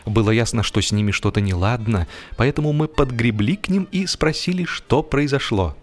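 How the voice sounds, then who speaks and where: A man narrating a humorous literary story with expressive, deliberate, measured phrasing. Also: medium pitch. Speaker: audiobook narrator.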